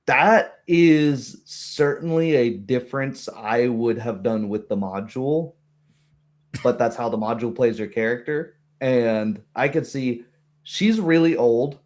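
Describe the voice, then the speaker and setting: A man's voice: medium pitch, measured pace, a little mellow. Hesitant man chatting as game master. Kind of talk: casual chat about a roleplaying game.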